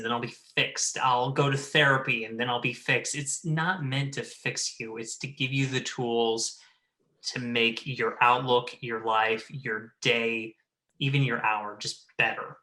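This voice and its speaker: Male panelist, mid-pitched and bright, earnest, emphatic, conversational. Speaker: show panelist. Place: remote video call.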